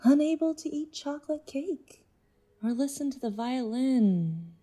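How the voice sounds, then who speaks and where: An intense, seductive inhuman being in a woman's body played by an actress in an audio drama. The voice is high and soft-toned, and it moves slowly and deliberately, drawing the listener in with measured persuasion. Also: big pitch swings, full character voice. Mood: yearning, aggrieved.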